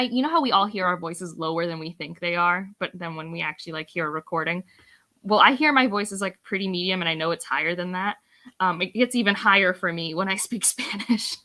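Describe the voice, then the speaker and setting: A woman in her thirties, raised in the United States, chats casually as host of a book club stream. She talks in a loose, self-mocking way and laughs often. Her voice is bright and clear. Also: fairly low pitch. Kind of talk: casual chat.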